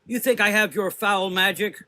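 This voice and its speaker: A man's voice: high-pitched, moderate pace, bright, forceful tone, slight background noise, pitched above the performer's own voice. A man voicing a stern, defensive mother superior in a gothic audio drama, indignant and imperious. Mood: scornful.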